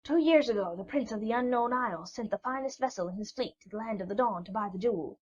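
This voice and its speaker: A kind, sorrowful old fisherman tells his tale gently, as a woman reads him aloud. The voice stays fairly high and soft-spoken. The recording sounds muffled and lo-fi. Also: quick pace, lightly acted. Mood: mournful. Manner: gentle, mournful storytelling. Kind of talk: storybook reading. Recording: dull lo-fi recording.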